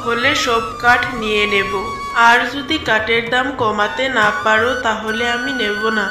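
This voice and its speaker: A man voicing a haggling wood buyer in a much higher, strained voice. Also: fairly even intonation, a little slow. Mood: pushy. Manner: pushy bargaining. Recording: echoey, off-mic, music behind.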